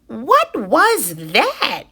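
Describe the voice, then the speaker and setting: Woman as curious little baby bird, drawn-out. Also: sits high, wildly swooping pitch, bright, forceful tone, husky, pitched above the performer's own voice, heavily acted. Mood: puzzled. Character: curious, startled little baby birds chirping in their nest.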